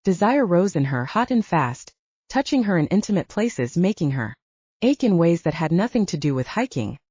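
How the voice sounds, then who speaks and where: Female narrator for romance audiobook: slightly low, lively intonation, dry, slightly dull recording. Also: normal speed, husky, no echo. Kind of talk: scripted romance fiction narration.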